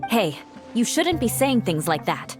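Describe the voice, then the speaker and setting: A woman's voice: on the high side, sing-song, measured pace, music in the background. A woman playing a composed, sensible young female adventurer in an anime video game, firm and direct. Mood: reproving.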